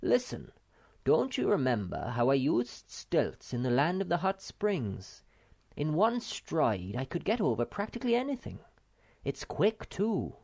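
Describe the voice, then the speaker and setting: A man voicing a gentle young male wanderer in a storybook reading, given a high, lamenting voice. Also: huge pitch swings, unhurried, gravelly, full character voice. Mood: eager. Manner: mournful, lamenting.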